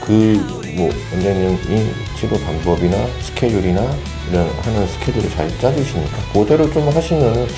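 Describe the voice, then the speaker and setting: A man's voice: fairly low pitch, animated, moderate pace, hardly any pauses, music in the background. A man, a former patient, earnestly sharing his experience of treatment in a loose, reflective way. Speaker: patient guest. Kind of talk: casual testimonial.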